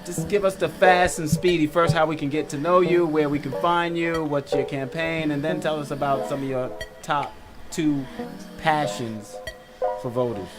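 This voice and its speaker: A man with a slightly raised pitch co-hosts a podcast, joking and teasing loosely with his guests. Music sits under the talk. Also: steady tone, moderate pace. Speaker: co-host. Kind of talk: casual chat.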